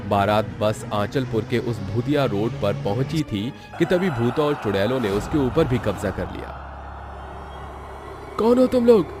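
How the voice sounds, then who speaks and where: A man's voice: fairly low pitch, moderate pace, few pauses. A man narrating a scripted horror story for a cartoon, as the storyteller. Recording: music bed under the voice.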